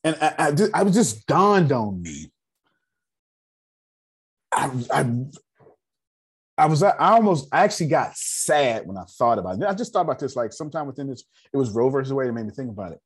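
A man in his forties with a raspy voice, hosting a casual group meeting and lecturing in a loose, talkative way. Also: mid-range voice, very animated, fairly quick, long pauses. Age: 40s. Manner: loose, talkative, laughing asides. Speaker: meeting host.

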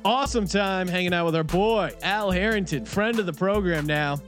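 A man's voice: sits high, normal speed, fairly bright tone, soft background music. A man hosting a casual podcast chat, upbeat and laughing easily. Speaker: podcast host.

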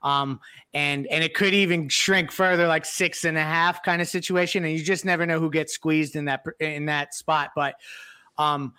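A man's voice: a little high, fairly even intonation, normal speed, pushed and bright, clean tone, dry recording. A man giving casual sports analysis as a panelist on a podcast.

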